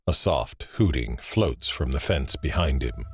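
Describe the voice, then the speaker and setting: A man narrating scene directions in a scripted audio drama, grave and measured. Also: rumbling low, animated, very noisy, exaggerated voice. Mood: measured, suspenseful.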